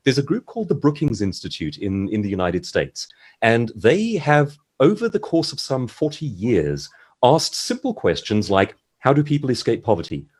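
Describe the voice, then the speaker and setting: Man, South African accent, mid pitch, very lively intonation, slightly rough voice, explanatory, articulate, online seminar. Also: moderate pace. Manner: articulate, explanatory, acts out short quotes. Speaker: author, seminar guest speaker. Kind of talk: conversational expert advice in an online seminar. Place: video call.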